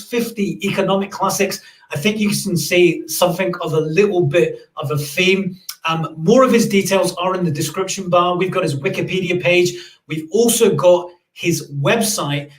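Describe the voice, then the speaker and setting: A man hosts a live-streamed interview in a loose, chatty way, full of "uh"s and restarts. His voice sits high for a man. The room around him rings with echo. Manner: chatty, many fillers and restarts. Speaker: podcast host.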